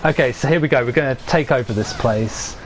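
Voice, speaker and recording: A young man giving rambling, casual commentary to his chat as he plays, laughing often at his own jokes and a little hoarse from losing his voice. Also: mid-range voice, fairly quick, a little hiss or hum, low-quality recording. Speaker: streamer.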